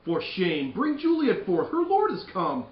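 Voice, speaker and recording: A man's voice: high voice, huge pitch swings, measured pace, voice pushed up, heavily acted. A man reading aloud as a bustling, then grieving old father in a classroom play reading. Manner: loud, stretched-out declaiming. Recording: distant, roomy, slightly dull recording.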